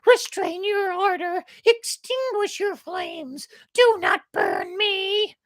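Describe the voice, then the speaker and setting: Shrill, bratty little male half-chick. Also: huge pitch swings, slow pace, fairly bright tone, dry recording, heavily acted. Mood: pleading. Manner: shrill, melodramatic.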